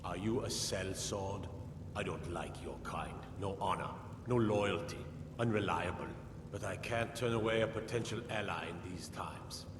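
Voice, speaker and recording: An actor playing a stern, scornful Nord jarl in a fantasy video game, curt and commanding. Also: male voice, low-pitched, moderate pace, roomy sound, long reverb tail, noisy background, natural voice.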